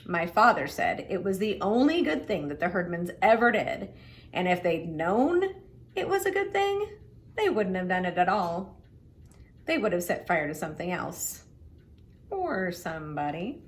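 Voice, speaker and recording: A woman reading a children's story aloud in an expressive way, leaning dramatically on key words. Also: fairly low pitch, measured pace. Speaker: children's book reader.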